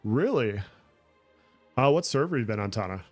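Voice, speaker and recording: A man's voice: mid-range voice, very animated, normal speed, dry recording, faint music behind. A man chatting casually on a gameplay livestream, answering viewer comments as he plays. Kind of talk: casual gameplay stream chat.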